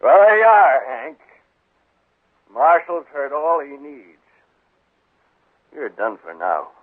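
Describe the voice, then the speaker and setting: A man's voice: a little high, big pitch swings, slow, full character voice. An American man playing an arrogant, rich, scheming town boss in a 1950s radio western, curt and imperious. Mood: smug, cold. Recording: muffled, echoing 1950s radio broadcast.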